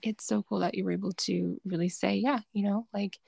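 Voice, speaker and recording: A young woman hosts an interview podcast, gushing with enthusiasm and leaning on casual fillers. Her voice has a gravelly, vocal-fry edge. The sound is dry and close, with no room around it. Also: mid-range voice, steady tone, a little fast, a little mellow, no room sound. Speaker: podcast host.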